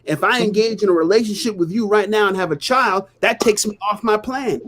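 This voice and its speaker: A man's voice: high-pitched, fairly quick, fairly bright tone. A man co-hosting a casual live stream, laying out his views earnestly and repeating points to drive them home. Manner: earnest, explanatory, builds points by repetition; frequent "you know what I'm saying". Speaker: co-host of a live talk stream.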